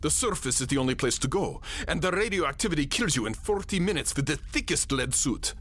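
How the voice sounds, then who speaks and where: A man's voice: fairly high pitch, expressive, fairly quick, fairly bright tone. A man playing a resigned captive enemy soldier, earnest and dramatic in an old-time radio drama style. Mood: resigned, tender. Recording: noisy old radio recording.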